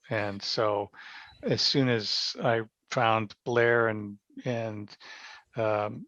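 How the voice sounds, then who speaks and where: A man with a creaky, gravelly voice talks as an interview guest. He is reflective and casual, pausing as he works through his thoughts. The sound is dry and somewhat dull and lo-fi. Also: fairly low pitch, a bit bright, no echo. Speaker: podcast guest, amateur theater member.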